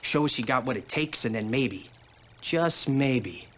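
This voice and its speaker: Easygoing army pal, cocky, teasing, slangy, mid-pitched voice, video game, slight background noise. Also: male voice, lively intonation, a little slow, fairly bright tone. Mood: wry. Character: laid-back, cocky male fellow soldier, joking buddy. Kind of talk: casual video game cutscene acting.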